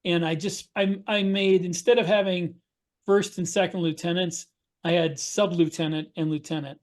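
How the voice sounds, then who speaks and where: High-voiced middle-aged man, reflective and halting. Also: fairly even intonation. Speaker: podcast co-host and science fiction author. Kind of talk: casual panel discussion. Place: home study.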